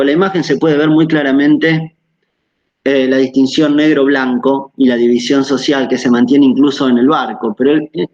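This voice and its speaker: A man's voice: mid-range voice, a little mellow, highs cut off. A man giving an academic lecture over a video call, hesitant, with many fillers and tag questions.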